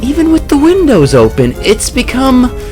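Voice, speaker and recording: A woman's voice: sits high, wildly swooping pitch, moderate pace. A woman voicing a dreamy, smitten female reporter, musing aloud in a comic-book dub. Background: music.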